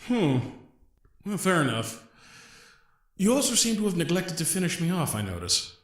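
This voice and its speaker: A man acts out a courteous gentleman genius from an audio drama, earnest and formal in his wordy politeness. His voice is fairly high for a man and swings widely in pitch, with a roomy echo around it. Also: very animated, moderate pace. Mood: composed, courteous. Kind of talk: scripted audio drama acting.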